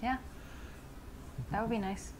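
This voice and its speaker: An actress playing a young woman on a date, answering in brisk, short replies. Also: fairly high pitch, fairly even intonation, noisy background. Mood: pleasant.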